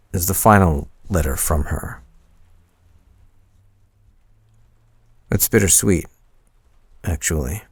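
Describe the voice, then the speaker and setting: Man acting a gravelly, hesitant young boyfriend. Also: low voice, dry recording, heavily acted. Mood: reflective, wistful. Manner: hesitant, halting, soft-spoken confiding. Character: shy, flustered young man confiding in his crush. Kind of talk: intimate boyfriend roleplay audio.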